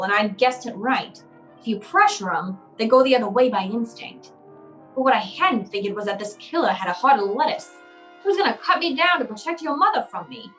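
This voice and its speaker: Woman playing a wry hardboiled private eye, high-voiced. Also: a bit bright, rough recording. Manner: slangy, wry, tough-talking. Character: hardboiled wisecracking male private eye, narrating his own case. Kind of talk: old-time radio detective drama acting.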